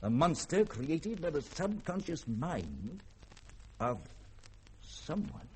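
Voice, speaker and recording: A man's voice: fairly high pitch, very animated, takes it slowly, warm tone, exaggerated voice. A man playing a proud, tormented older scientist and father with formal, theatrical diction in a 1950s radio drama. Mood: brooding. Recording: old radio recording with faint noise.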